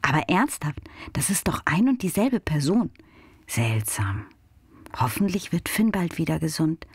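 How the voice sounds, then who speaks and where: Spirited sceptical girl, audio drama; husky, lively melody, dry close sound. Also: deep voice, a little slow, no room sound, exaggerated voice. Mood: sceptical musing. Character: spirited sceptical girl, helpful, talking to herself. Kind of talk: German audio drama acting.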